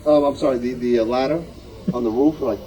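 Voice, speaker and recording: A man asking casual questions from an audience in an informal, halting way. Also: mid-range voice, rough recording. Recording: loud crowd murmur behind.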